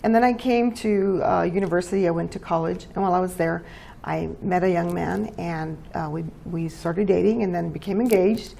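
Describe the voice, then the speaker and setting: An older woman from New Mexico, a guest in a casual interview, chatty and halting, laughing easily. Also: fairly low pitch, vocal fry, warm tone, slight background noise.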